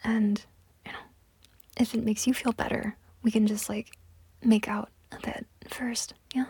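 A woman's voice: a little high, flat delivery, a little slow, dark tone, no room sound, exaggerated voice. A young woman voicing a pushy, entitled woman on a date in a one-sided audio roleplay, talking in a close, whispery way with lots of swearing. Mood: mocking, coaxing. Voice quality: husky, breathy, gravelly. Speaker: voice actor.

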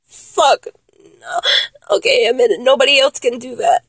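Woman playing a high, breathy, needy male lover. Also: lively intonation, no echo, heavily acted, rough recording. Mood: overwhelmed, aroused. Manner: halting, sighing, slipping into whispers. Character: needy, teasing male lover, pleading and bossy by turns. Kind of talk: intimate adult audio roleplay.

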